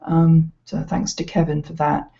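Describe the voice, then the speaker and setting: A woman's voice: sits low, monotone, mellow. A woman giving an informal, hesitant walkthrough as an educational presenter. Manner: hesitant, halting delivery. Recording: slightly dull, lo-fi sound.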